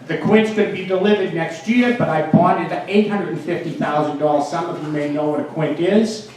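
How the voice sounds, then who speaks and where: A man's voice: on the high side, steady tone, brisk, a little mellow. A man in his sixties with a Boston accent gives an informal, emphatic public speech in a plain-spoken way. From United States. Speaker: guest speaker, fire protection engineer and town mayor. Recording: very echoey, distant microphone, faint noise.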